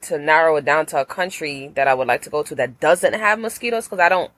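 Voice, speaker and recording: A woman's voice: sits low, fast, a bit bright. A woman guest in a casual chat, thoughtful and a bit hesitant.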